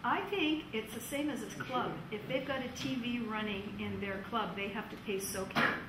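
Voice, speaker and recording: A woman's voice: fairly high pitch, fairly even intonation, normal speed. A woman hosting an informal question-and-answer discussion. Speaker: meeting host. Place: echoey meeting room. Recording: echoey room, distant mic, noisy.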